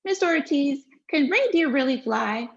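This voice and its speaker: An eager little girl full of questions, as a woman puts her on, her voice pitched up and bouncing between high wondering notes and gravelly edges. The read-along recording is dull and lo-fi. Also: lively intonation, moderate pace. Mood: curious.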